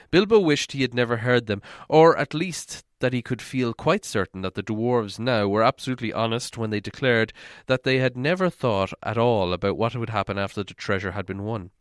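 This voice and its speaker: A man's voice: medium pitch, no echo. Dry, close-sounding male storybook narrator. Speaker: audiobook narrator.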